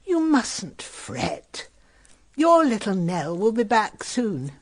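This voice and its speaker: An actress playing a soothing, optimistic older British lady in a period radio drama, gently reassuring and unhurried. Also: mid-range voice, wildly swooping pitch, no room sound, heavily acted.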